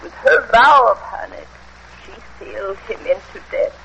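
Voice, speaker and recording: An actress playing a frail, dying old woman servant in a radio horror drama, quavering, halting and anguished. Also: mid-range voice, lively intonation, vocal fry, pushed and bright, heavily acted. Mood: haunted, sorrowful. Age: older. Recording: muffled, hissy old radio drama recording.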